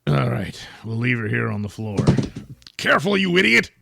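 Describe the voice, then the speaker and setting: A man's voice: mid-range voice, very animated, moderate pace, gravelly, heavy background noise. A man playing a pompous, self-important, blustering superhero in a comic audio serial. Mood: scheming, bossy. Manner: pompous, grandiose.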